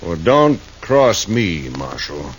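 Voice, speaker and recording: An actor playing a shady gambler, smug, taunting and coldly threatening, in a 1950s radio western. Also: male voice, deep voice, sing-song, slow, muffled recording, heavily acted. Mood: smug menace. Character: smug, menacing male gambler, cold and possessive. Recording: noisy vintage 1950s radio recording.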